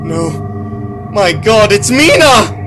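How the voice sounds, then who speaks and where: Man as anguished lovesick hero, very high voice. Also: very animated, slow, music in the background, heavily acted. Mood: shocked, anguished. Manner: breathless, melodramatic exclamations. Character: anguished, lovesick young man hunting vampires. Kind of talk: radio drama acting.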